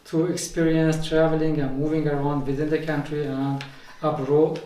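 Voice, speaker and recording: A man from Iran whose first language is Azerbaijani Turkish, a professor answering interview questions in a measured way with frequent fillers. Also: a little high, level tone, warm tone. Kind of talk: conversational interview. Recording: echoey room, mic at a distance, faint noise.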